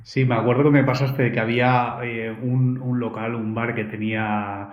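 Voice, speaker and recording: A man's voice: mid-range voice, level tone, moderate pace, lo-fi sound. A man hosting a podcast who asks questions in a friendly, hesitant way, with many fillers. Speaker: podcast host. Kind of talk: casual interview. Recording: roomy echo.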